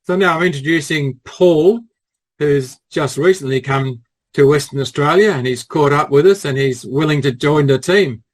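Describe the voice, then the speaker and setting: A man's voice: a little high, normal speed. A man conducting a casual interview, speaking in a friendly, welcoming way.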